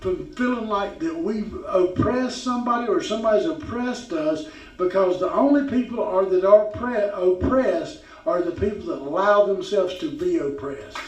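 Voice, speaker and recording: A man's voice: high voice, normal speed. A man in his fifties with a Southern US accent, hosting a podcast and telling stories in a rambling, folksy way. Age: 50s. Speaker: podcast host. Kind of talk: casual talk show chat. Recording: roomy, distant, dull sound.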